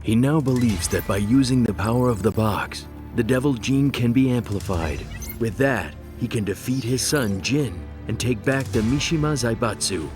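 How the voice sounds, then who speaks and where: A man's voice: medium pitch, moderate pace, lightly acted. A man reading scripted dramatic narration as the narrator of a video game story. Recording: music behind.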